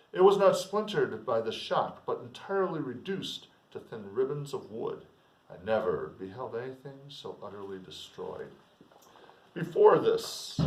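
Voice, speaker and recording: A man reading classic literature aloud like a teacher, with informal explanatory asides and an expressive manner. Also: medium pitch. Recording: roomy echo, distant microphone.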